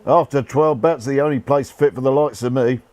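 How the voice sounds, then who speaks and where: An actor playing a cheerful, irreverent rough soldier's ghost in a radio play, talking in jaunty, colloquial speech. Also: male voice, mid-range voice, normal speed, a little mellow, close to an everyday voice. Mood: cheeky, breezy. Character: cheeky, cheerful old-soldier ghost, rough common man. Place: actor's home.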